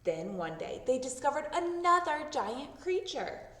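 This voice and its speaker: A woman narrates a children's storybook aloud. Her delivery is expressive and very animated in pitch. The room is clearly echoey and the microphone sits a little way off. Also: a little slow. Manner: expressive, acting out sound words. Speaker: storybook reader. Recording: roomy echo, distant microphone.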